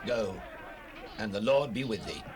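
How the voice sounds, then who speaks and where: A man's voice: on the low side, lively intonation, unhurried, a little mellow, a little hiss or hum. An actor playing a grave, doubtful king, speaking solemnly in a dramatized Bible recording. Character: grave, doubtful king of Israel, adult male. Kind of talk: dramatized Bible audio acting. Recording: cassette rip with crowd behind.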